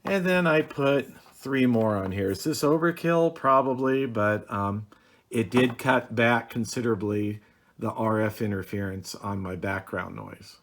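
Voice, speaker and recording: A man's voice: mid-range voice, unhurried. A middle-aged man casually explaining a hobby project in a home office, hesitant and plainspoken with frequent ums. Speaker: radio hobby video host. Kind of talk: casual how-to demonstration.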